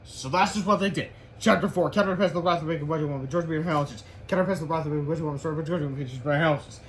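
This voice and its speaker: A man's voice: on the high side, quick pace, slight background noise. A man narrating a children's book read-aloud, stumbling over words now and then.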